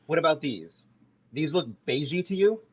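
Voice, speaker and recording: A man's voice: on the high side, sing-song, moderate pace, husky, dull audio. A man reading the part of a defensive, self-impressed young male roommate at a sitcom table read. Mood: indignant.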